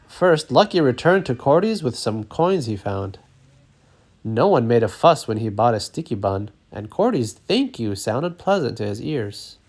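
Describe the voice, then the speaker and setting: Male storybook narrator, medium pitch, very expressive and lively, reading aloud for kids. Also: measured pace. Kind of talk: expressive children's read-aloud.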